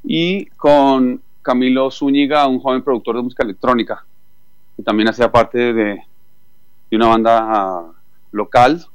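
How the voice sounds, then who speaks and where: A man's voice: mid-range voice, smooth, no room sound. Colombian-accented man, dry sound. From Chile. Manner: relaxed and thoughtful, many "eh" pauses. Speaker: musician guest. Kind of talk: phone interview.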